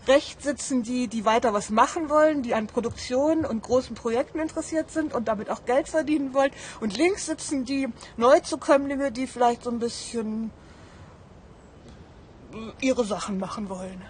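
Woman recollecting over clear background noise. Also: a little high, moderate pace. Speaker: interviewee. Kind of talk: spontaneous recollection in an interview.

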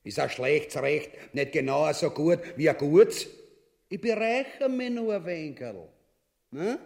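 A man's voice: fairly high pitch, lively intonation, takes it slowly, vocal fry, a little mellow, full character voice. An actor playing a gluttonous, cowardly usurper king in a grotesque comedy, blustering coarsely in Austrian dialect. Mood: scornful, blustering. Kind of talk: grotesque comedy radio play acting.

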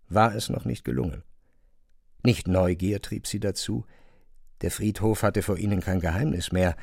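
A man narrating a literary radio play from a script in a measured storytelling manner. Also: on the low side, gravelly, darkish tone, no room sound, clean studio sound. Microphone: close studio microphone.

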